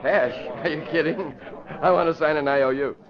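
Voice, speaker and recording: Entitled young gambler, male actor, highish and demanding, radio drama, dull old recording. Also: fairly even intonation, moderate pace, crowd noise, natural voice. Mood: cocky. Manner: haughty, demanding. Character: indignant, spoiled young playboy gambler.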